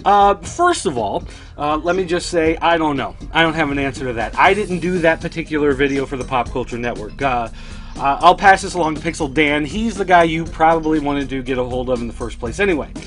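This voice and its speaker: A man's voice: on the high side, a little fast, fairly bright tone, soft background music. A man hosting a toy collecting news show, chatting casually to viewers in a dry, sardonic way.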